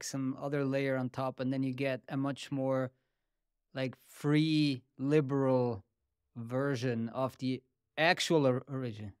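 Smooth-voiced podcast host, native German speaker. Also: male voice, mid-range voice, a little mellow, no echo, studio quality. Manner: quick, friendly, lots of "like" fillers. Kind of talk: casual interview hosting. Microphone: studio podcast mic.

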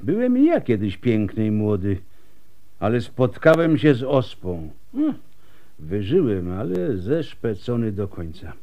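An old physician in a radio drama, worn and sardonic. He speaks very slowly, with a lively, swinging intonation and an unhurried, wry manner. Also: on the low side, full character voice. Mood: wry, resigned. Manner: wry, world-weary, unhurried. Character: weary, wry old pox-scarred doctor. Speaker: actor.

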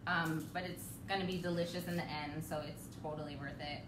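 A woman in her thirties hosting a live home cooking demo, chatty and relaxed, laughing often. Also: sits low, a little fast. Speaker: cooking show host. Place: home kitchen, indoors. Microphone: camera across the kitchen. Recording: roomy, distant, noisy home kitchen.

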